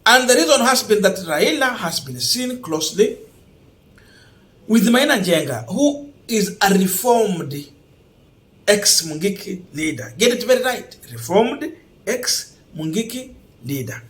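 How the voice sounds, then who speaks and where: A Kenyan man hosting online political commentary, speaking emphatically and deliberately and repeating points for stress. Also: high-pitched, big pitch swings, a bit bright. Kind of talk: political news commentary to camera.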